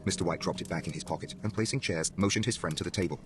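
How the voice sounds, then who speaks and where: A man's voice: low voice, rapid-fire, background noise. A man narrating a horror story in a dramatic, scripted style.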